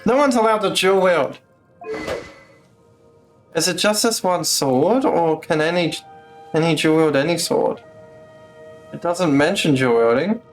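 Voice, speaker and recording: A man's voice: a little high, animated, measured pace, long pauses, faint music behind. A man casually commentating on live gameplay as a streamer, blunt and sweary. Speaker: game streamer.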